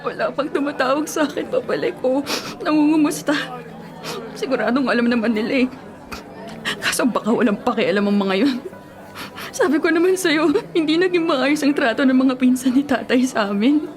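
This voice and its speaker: Orphaned young female student, radio drama, high pitch, plaintive, grieving, emotional, faint music behind. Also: lively intonation, normal speed. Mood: bitter, hurt. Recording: music and crowd noise behind.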